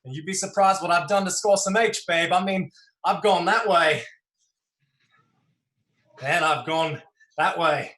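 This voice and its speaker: A man's voice: high voice, measured pace, long pauses, sharp tone, echoey room. A man voicing Scarecrow, a crude, sardonic male junkie patient, in a dramatized script reading. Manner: sardonic, crude, joking drawl. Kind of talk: livestream play script reading.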